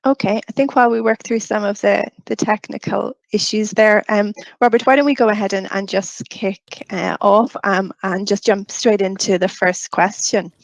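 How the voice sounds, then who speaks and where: A woman moderates a corporate panel, polite and formal. Her voice has a gravelly fry, and the sound is dry and close. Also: mid-range voice, steady tone, normal speed, few pauses, dry recording, rough recording.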